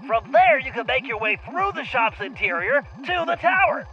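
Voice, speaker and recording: A man's voice: extremely high pitch, very animated, moderate pace, vocal fry, muffled recording, exaggerated voice. A man playing a brainy, earnest cartoon turtle tech planner in a video game, with a precise, fussy manner. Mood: instructive. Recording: music behind the voice.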